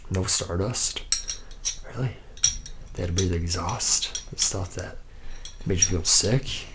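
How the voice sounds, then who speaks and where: A man's voice: sits low, slow pace. A man playing a jaded, boastful lead robot mechanic in a rambling, drawling ASMR roleplay monologue. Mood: incredulous. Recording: noisy close recording with clinking metal.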